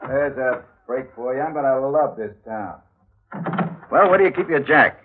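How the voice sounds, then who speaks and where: A man's voice: medium pitch, expressive, moderate pace, a little hiss or hum, natural voice. A man playing a cocky, slangy prizefighter in a 1940s radio thriller, with brash wise-guy delivery. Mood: cocky, breezy. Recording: muffled, narrow 1940s radio recording.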